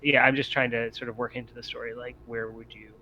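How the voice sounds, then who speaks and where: Guy game mastering, medium pitch voice, halting and hesitant, bit muffled lofi w/ slight hiss. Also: level tone, pushed and bright. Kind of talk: improvised tabletop game narration. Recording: dull lo-fi audio, faint noise.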